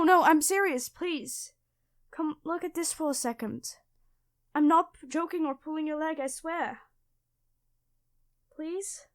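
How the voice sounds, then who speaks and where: High-pitched, flustered, nerdy young classmate, played by a woman. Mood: urgent, amazed. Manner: stammering, breathless rambling, over-apologetic. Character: clumsy, nerdy, excitable female classmate, flustered and apologetic. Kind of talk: ASMR audio roleplay addressed to the listener.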